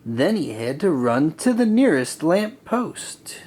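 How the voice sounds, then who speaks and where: Slow, lively male children's storybook reader. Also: fairly high pitch. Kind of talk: children's read-aloud narration.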